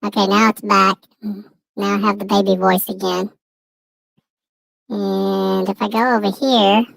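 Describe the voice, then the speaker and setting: A man's voice: little pitch movement, unhurried, creaky, fairly bright tone, no echo, heavily acted, low-quality recording. A man using a voice changer to sound like a small baby-voiced child. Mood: chatty. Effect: voice changer pitch-up.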